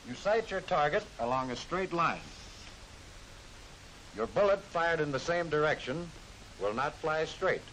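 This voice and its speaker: A man's voice: a bit bright, rough recording. An American man reading scripted narration for a 1940s military training film, instructing the trainee directly. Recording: hissy 1940s film soundtrack, rumbling noise.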